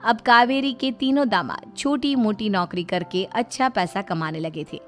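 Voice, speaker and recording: A woman's voice: medium pitch, moderate pace, a bit bright, faint music behind. A woman reading scripted narration for a moral story as its storyteller.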